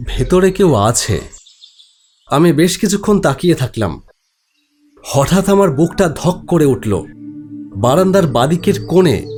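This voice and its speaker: A man's voice: a little high, darkish tone. A man giving a dramatic, scripted first-person narration of a horror story. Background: background music.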